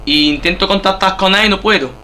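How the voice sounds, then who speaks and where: A man's voice: sits high, fast talker, pushed and bright, slight background noise. A man with an accent from Spain making a prank phone call, insistent and often repeating himself. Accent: Spanish (Spain). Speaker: prank caller. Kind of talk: prank phone call, pretending to be a cheated buyer.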